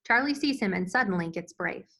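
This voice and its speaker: A woman's voice: medium pitch, animated, brisk, gravelly, bright, forceful tone, breathy edge. A woman reading scene headings and stage directions as the narrator of a screenplay table read.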